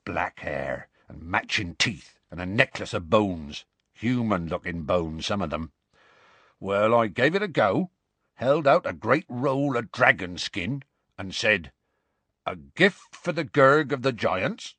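Huge gruff bloke for an audiobook, raspy, rambly, warm hearted, really animated. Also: male voice, on the low side, slow pace, no echo, full character voice. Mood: grim storytelling. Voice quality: gruff, growly. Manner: colloquial, rambling, dropped consonants. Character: big gruff rustic man, warm-hearted, indignant storyteller.

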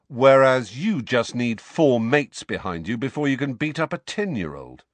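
A man reading the part of a sharp-tongued teenage boy wizard in a storybook, needling and defiant. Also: medium pitch, expressive, normal speed, no echo, pitched above the performer's own voice, close to an everyday voice. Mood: taunting.

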